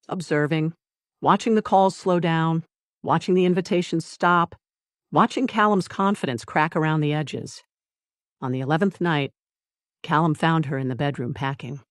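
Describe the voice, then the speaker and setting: A narrator reading a scripted dramatic story in a measured, deliberate way, with short phrases and pauses. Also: medium pitch, no echo, studio quality. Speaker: audiobook narrator.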